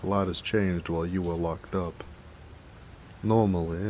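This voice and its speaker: An actor playing a jovial, affectionate big 1920s mobster with an American accent, in a folksy, teasing noir audio drama. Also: male voice, deep voice, darkish tone, a little hiss or hum. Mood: rueful. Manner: folksy, teasing, chatty, chuckling. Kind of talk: noir romance audio roleplay, 1920s gangster slang. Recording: faint rain ambience behind voice. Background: rain.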